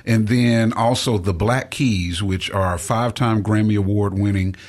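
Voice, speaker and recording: A man co-hosting a casual radio show, telling things in a halting, emphatic way with asides. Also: lowish voice, steady tone.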